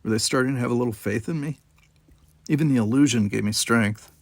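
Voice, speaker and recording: Medium-pitched man narrating dramatically, dry sound. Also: brisk, creaky, no room sound. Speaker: audiobook narrator. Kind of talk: dramatic first-person scripted narration.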